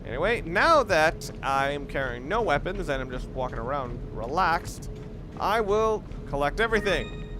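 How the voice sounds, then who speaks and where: Male gameplay commentator, highish voice, informal and stop-start, clearly noisy recording. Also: sing-song, moderate pace. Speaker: let's play commentator.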